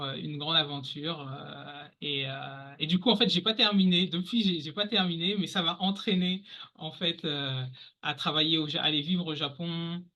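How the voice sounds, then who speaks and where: A man in his forties from the Paris region, answering questions on a podcast. His voice is very bright and sits a little high, and he talks in a halting, casual way, full of pauses and restarts. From France, Paris region. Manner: halting, many fillers and restarts. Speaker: podcast guest. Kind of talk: casual interview talk.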